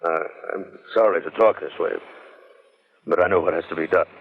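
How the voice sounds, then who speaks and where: An actor playing an ailing, secretive estate owner in an old-time radio drama, grave, halting and commanding. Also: male voice, low-pitched, creaky, slightly rough, narrow, tinny audio, full character voice. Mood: stern, tense.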